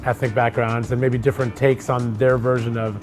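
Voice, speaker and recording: A middle-aged man talks in an easygoing, rambling way, trailing into fillers as he thinks aloud. His pitch sits right in the middle for a man. Soft music plays faintly underneath. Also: a little fast. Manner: relaxed, rambling with many fillers. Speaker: actor co-hosting a chat. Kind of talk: casual conversation.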